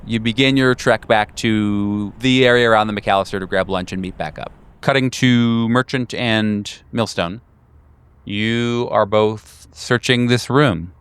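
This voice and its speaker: A man with a low, smooth voice runs a horror tabletop game. He narrates scenes descriptively and deliberately, breaking his phrases with pauses, in a very dry close recording. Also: steady tone, fairly bright tone, dry recording. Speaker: game master.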